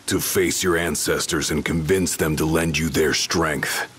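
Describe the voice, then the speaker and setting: A man playing a gruff, blunt, hot-tempered young warrior bodyguard in a video game cutscene. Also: extremely low pitch, steady tone, measured pace, fairly bright tone, heavily acted. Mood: stern, challenging. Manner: blunt, confrontational.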